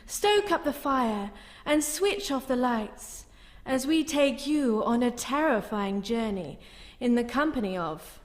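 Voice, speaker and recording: A woman's voice: fairly high pitch, animated, slow. A woman playing a bewitching horror hostess, narrating a live radio show in a grandiose, gushing, theatrical way. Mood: theatrical, inviting. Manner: grandiose, gushing, relishing the gruesome. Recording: live in echoey hall, long reverb.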